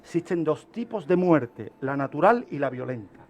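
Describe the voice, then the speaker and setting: A man with a distinctly high voice explains criminology as a radio guest. He teaches as he talks, stressing key points emphatically and posing rhetorical questions before answering them. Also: animated, normal speed, a little mellow, a little hiss or hum. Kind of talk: explaining criminology and a historical case on a radio segment. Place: radio studio.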